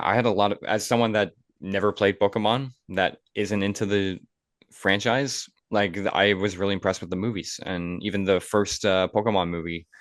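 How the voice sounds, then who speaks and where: Young man, deep voice, podcast host, casual, rambling, dry sound. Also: fairly bright tone, no room sound.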